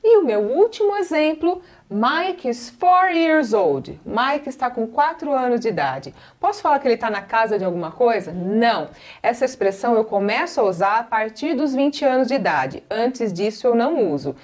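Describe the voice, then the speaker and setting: A Brazilian woman in her forties with a Brazilian Portuguese accent, an English teacher patiently explaining and slowly repeating each example. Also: a little high, sing-song, darkish tone. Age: 40s. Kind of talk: classroom-style explanation.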